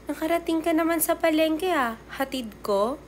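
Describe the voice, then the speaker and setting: Woman playing a coaxing high-voiced old talking bicycle. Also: normal speed, natural voice. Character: loyal old talking bicycle, nudging and reassuring. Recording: clear background noise.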